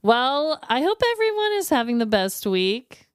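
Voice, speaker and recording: A woman co-hosting a podcast in casual comedic chat, with a playful, teasing and animated way of talking. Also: fairly high pitch, measured pace, clean tone, dry recording, studio quality. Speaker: podcast co-host.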